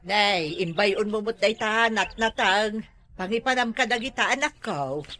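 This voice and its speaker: A woman's voice: medium pitch, a little slow, bright, forceful tone. A woman playing a village mother who lectures her son with advice. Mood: advising.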